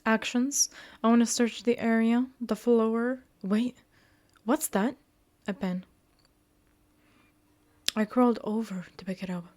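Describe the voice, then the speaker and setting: A woman's voice: a little high, steady tone, slow pace, a little mellow. A woman giving playful, sassy commentary as she hosts a game walkthrough, with joking asides and bits read aloud. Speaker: host of a visual novel walkthrough channel. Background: music.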